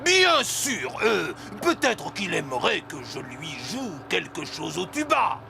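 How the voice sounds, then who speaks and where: A man voicing a genial, slightly dotty bull, gravelly and courtly, in a children's cartoon. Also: high-pitched, huge pitch swings, takes it slowly, very noisy, full character voice. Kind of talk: French-dubbed preschool cartoon acting.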